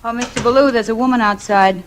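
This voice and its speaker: A woman's voice: fairly high pitch, fast talker, music in the background, natural voice. A woman playing a cheeky, wisecracking secretary in 1940s radio drama style, breezy and knowing. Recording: old radio recording, faint noise.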